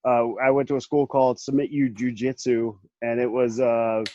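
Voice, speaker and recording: A man's voice: mid-range voice, level tone, normal speed, mellow, lo-fi sound. A man in his late thirties, hosting a casual group chat, rambling with many restarts and laughing often. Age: 30s. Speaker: podcast host.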